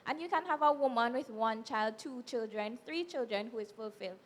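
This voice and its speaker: Persuasive young woman, bright pitch. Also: animated. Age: young adult. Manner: measured, persuasive. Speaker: panelist. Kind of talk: live panel discussion. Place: event hall, live audience. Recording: hall reverb with faint noise.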